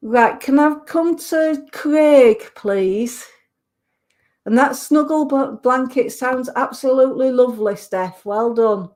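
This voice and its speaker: Yorkshire lady doing radio psychic readings, kinda high voice, slow and soothing, lots of stop-start restarts. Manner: gentle, reassuring, with many hesitant restarts. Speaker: clairvoyant giving readings.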